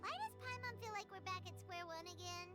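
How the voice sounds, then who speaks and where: A woman voicing a small, excitable, childlike fairy companion in a game cutscene, with a squeaky, whiny, exclamatory delivery. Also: fast talker. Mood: flustered. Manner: refers to self in third person, whiny, exclamatory. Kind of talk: animated game cutscene dialogue. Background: background music.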